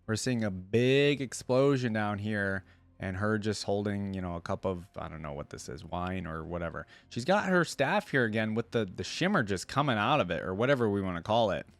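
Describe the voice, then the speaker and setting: A man hosting a reaction video, commenting informally and reading aloud as he thinks out loud. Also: lowish voice, animated, normal speed, creaky, dry recording.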